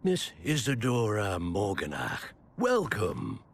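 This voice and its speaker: A man voicing a wise, stately wizard professor in a video game, speaking formally and deliberately as a mentor. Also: on the low side, wildly swooping pitch, slight background noise, full character voice. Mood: welcoming. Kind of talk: video game cutscene acting.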